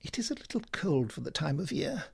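A man playing a gentleman detective in an audio drama, cool, precise and imperturbable, with dry amusement. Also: mid-range voice, lively intonation, moderate pace, husky. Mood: blandly amused.

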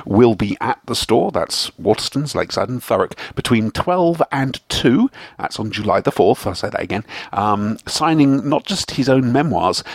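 A podcast host with a very lively, bouncing pitch. He chats and jokes easily with his guest in a casual way. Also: gravelly, breathy edge, dry recording. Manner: jokey, lively. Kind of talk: casual interview.

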